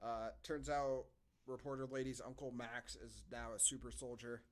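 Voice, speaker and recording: A man's voice: mid-range voice, little pitch movement, normal speed. A man co-hosting a podcast, giving a casual, comedic movie recap in a sardonic manner. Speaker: podcast co-host.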